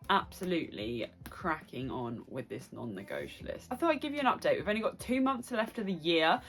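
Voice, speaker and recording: A woman's voice: on the low side, very animated, a little fast, a bit bright, soft background music. A woman hosting a chatty book vlog, speaking playfully and effusively with dramatic asides. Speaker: book review vlogger and host. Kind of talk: chatty solo vlog to camera.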